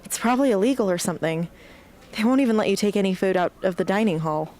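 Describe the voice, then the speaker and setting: A woman's voice: mid-range voice, expressive, quick pace, darkish tone, lightly acted. A woman playing a troubled, determined teenage girl rebel in an amateur radio drama. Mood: casual.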